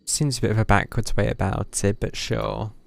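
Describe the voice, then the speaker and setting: A man's voice: fairly low pitch, flat delivery, normal speed, vocal fry. A man giving casual, dryly wry, muttered commentary as he streams a video game. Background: video game music.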